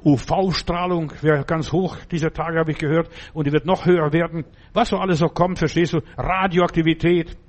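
Man, preacher, fervent sermon, slightly high voice. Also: steady tone, moderate pace, few pauses. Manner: emphatic, fervent, exclaims names loudly. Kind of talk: sermon and prayer.

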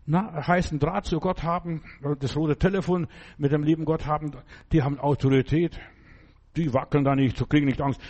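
A man's voice: fairly high pitch, darkish tone. A man preaching a fervent, emphatic sermon in German, which is not his first language, repeating phrases for emphasis.

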